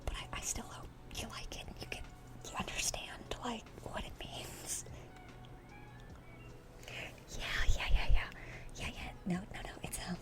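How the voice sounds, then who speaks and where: A woman playing a dorky, lovestruck young demon in a whispered ASMR roleplay, speaking in a breathy, earnest, flustered way. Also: measured pace, pauses often, lightly acted. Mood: shy, earnest. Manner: flustered, stammering, gushing, earnest.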